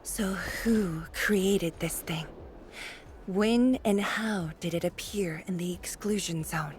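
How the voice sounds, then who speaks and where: Casting: grave game leader, lowish measured voice, very unhurried, weary and solemn, music underneath. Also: female voice, slight background noise. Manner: grave, deliberate, hushed and breathy at times. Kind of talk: dramatic video game voice acting.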